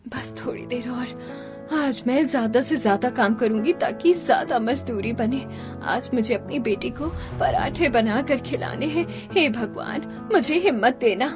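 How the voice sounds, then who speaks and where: A woman voicing a poor, hardworking, devoted mother in an animated story, earnest and pleading. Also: a little high, measured pace, over music, lightly acted. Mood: worried, resolute.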